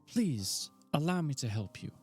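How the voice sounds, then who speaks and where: A man's voice: fairly high pitch, very animated, unhurried, darkish tone, breathy edge, faint music behind, pitched above the performer's own voice, heavily acted. A man reading aloud and voicing a confident, playful young woman who is an actress. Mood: pleading. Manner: playful, reassuring.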